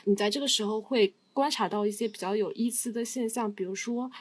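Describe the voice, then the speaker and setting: A woman's voice: medium pitch, fairly even intonation, fairly quick. A woman speaking as a guest in a casual chat, telling everyday anecdotes and given to easy laughter. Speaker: podcast guest.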